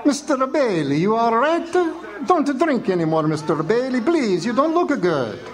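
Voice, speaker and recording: A fussing café owner. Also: male voice, very high-pitched, sing-song, normal speed. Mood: concerned. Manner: concerned, fussing. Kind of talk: live radio drama acting.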